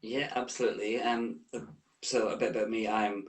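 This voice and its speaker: A man's voice: on the low side, flat delivery, moderate pace, poor audio. A Scottish man from the west coast, a welfare manager chatting in a friendly way with hesitant ums. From west coast of Scotland. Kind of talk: casual chat.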